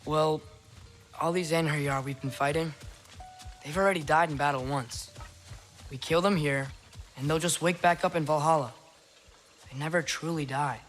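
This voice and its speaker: An actor playing an eager, questioning teenage warrior son in a video game, earnest and youthful. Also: male voice, fairly high pitch, steady tone, moderate pace, long pauses, fairly bright tone, faint music behind, natural voice. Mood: earnest, thoughtful. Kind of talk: cinematic video game acting.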